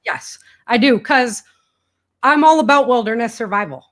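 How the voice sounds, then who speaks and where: A woman's voice: a little high, expressive, moderate pace, fairly bright tone. Emphatic woman in casual, colloquial conversation. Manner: colloquial and emphatic, acts out quoted remarks. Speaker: interview guest, author and podcaster on survival prepping.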